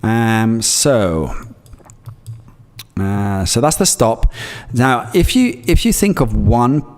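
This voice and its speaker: A man's voice: medium pitch, animated, slow pace, a little mellow, big-room sound. A man who grew up in Greece, with Greek as his first language, streaming live and talking through his trades in a rambling way, reading chat messages as he goes. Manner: rambling, reads chat messages aloud, many fillers and restarts. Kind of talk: live-stream trading commentary.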